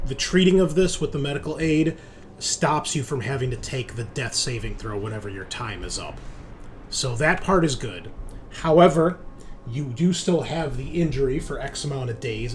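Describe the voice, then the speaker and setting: Salty-tongued male game master, noisy recording. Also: medium pitch. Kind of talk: narrating and explaining rules of a tabletop game.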